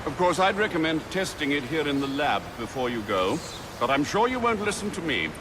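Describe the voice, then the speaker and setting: Game butler: older, British, formal, understated, expressive pitch, clear urban noise behind. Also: male voice, a little fast. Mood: dry, resigned. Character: elderly, dryly caring, formal English butler. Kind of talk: dramatic video game cutscene acting.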